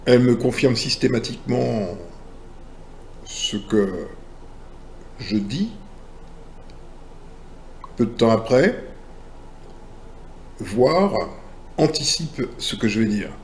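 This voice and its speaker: A man hosting a casual spiritual talk, speaking slowly and directly to the listener in a relaxed, familiar way. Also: mid-range voice, a little hiss or hum. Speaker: channel host.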